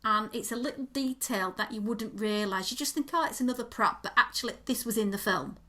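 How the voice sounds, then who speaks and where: A woman co-hosting a casual podcast, chatty and gushing, with a voice going croaky. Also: fairly high pitch, fairly quick, pushed and bright. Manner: gushing, enthusiastic about details. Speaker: podcast co-host.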